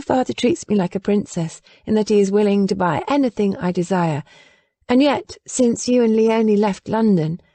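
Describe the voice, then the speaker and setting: A woman's voice: mid-range voice, mellow, dry recording, pitched above the performer's own voice, lightly acted. Woman voicing a spirited young noblewoman. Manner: emphatic, rising and falling sharply.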